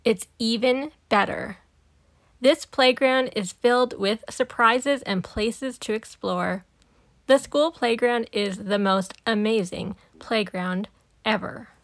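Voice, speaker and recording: A woman narrates a children's storybook in a high voice with expressive, emphatic delivery. She takes her time over the words, and the sound is dry and close. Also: slow pace, no room sound. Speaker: storybook reader. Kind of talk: expressive read-aloud narration for young children.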